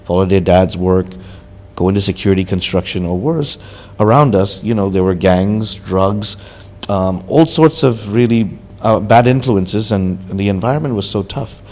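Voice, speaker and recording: A young man from Hong Kong with a low-set voice, speaking earnestly and candidly as a podcast guest. He tells his own story in a loose, conversational way, often filling pauses with "you know". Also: darkish tone. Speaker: podcast guest, social worker.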